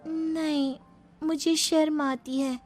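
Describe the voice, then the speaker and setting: Lady doing a young new bride, coy and pert, really high voice, cartoon moral story, faint music. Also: level tone, slow, darkish tone. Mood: shy. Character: coy, cheeky young one-eyed village bride.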